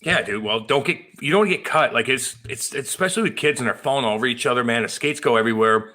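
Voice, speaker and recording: An American man with a bright, forceful voice at an average pitch, co-hosting a podcast. He is blunt and sweary, talking in loose slang. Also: fast. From Missouri, USA. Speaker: podcast co-host. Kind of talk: casual bantering chat.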